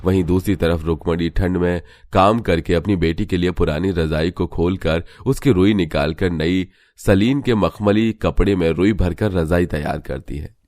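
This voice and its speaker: A man's voice: deep voice, normal speed, few pauses, a little mellow, dry recording, studio quality. A man reading scripted storybook narration for a cartoon.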